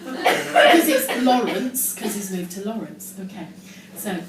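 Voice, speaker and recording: A woman's voice: mid-range voice, lively intonation, unhurried, over a noisy crowd. Echoey, far-off woman reading on stage. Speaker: amateur performer reading her teenage diary on stage. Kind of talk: comic confessional reading to a live audience. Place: indoor live show in London, audience laughing behind. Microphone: distant phone camera in the audience. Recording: echoey, noisy live venue recorded from far off.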